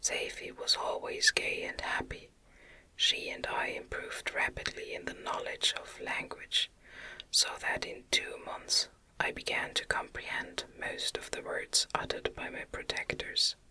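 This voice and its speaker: A woman reading a gothic novel aloud in a gentle, breathy ASMR whisper, with careful phrasing, close to the microphone. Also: unhurried, low-quality recording. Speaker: audiobook reader.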